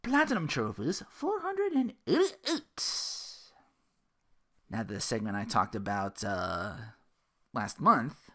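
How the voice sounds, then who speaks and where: A man's voice: medium pitch, slow. A man hosting a podcast, giving casual, rambling gaming commentary with little acted-out asides. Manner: rambling, animated asides, acting out little quotes. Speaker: podcast host.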